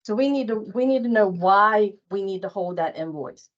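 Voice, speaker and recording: A woman's voice: medium pitch, expressive, normal speed, highs cut off. A woman giving an informal workplace training talk as a billing trainer, emphatic and repeating her points for stress.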